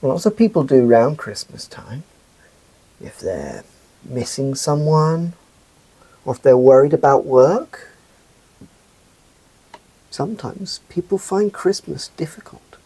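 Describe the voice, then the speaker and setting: A man playing a gentle, reassuring, patient dad in a scripted children's puppet show, in a soft husky voice. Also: a little high, very animated, takes it slowly, pauses often, full character voice, rough recording.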